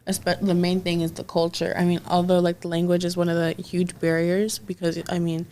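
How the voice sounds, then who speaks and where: A woman's voice: fairly low pitch, completely flat delivery, fairly quick, dark tone. A young woman interviewing casually, chatty and full of "like" fillers. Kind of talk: casual conversation. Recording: faint background noise.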